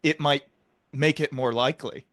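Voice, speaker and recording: A man's voice: medium pitch, steady tone, normal speed, fairly bright tone, dry recording. Man hosting an interview, dry close sound. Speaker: podcast host, interviewer. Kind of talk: interview conversation, retelling examples.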